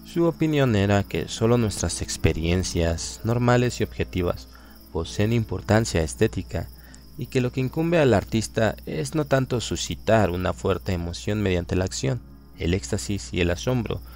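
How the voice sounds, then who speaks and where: A man with a Latin American Spanish accent reading a literary horror story aloud in a measured, grave manner. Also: on the low side, darkish tone. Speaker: audiobook narrator. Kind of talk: scripted literary narration.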